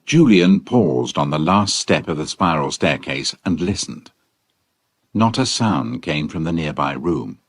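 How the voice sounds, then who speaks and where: A British man narrates a children's adventure with suspense. His voice is deep and slow, with wide, expressive intonation. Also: no room sound. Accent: British English. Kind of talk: dramatic scripted storybook narration.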